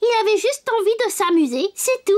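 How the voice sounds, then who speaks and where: A small wooden puppet boy a children's cartoon, pitched very high. He is cheerful, polite and eager, speaking childlike with exclamations, over clear background music. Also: big pitch swings, brisk. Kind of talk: French children's cartoon dub.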